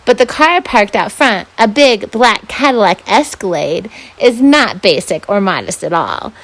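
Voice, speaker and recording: A woman volunteer reading a children's novel aloud in an expressive, first-person storytelling style. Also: mid-range voice, measured pace.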